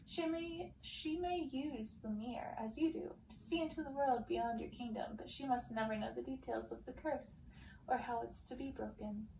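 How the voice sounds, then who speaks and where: A woman voicing cruel witch sisters from a storybook, mocking and deliberate in their taunts. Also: fairly high pitch, creaky, mellow. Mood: menacing, taunting. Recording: roomy, distant, faint background noise.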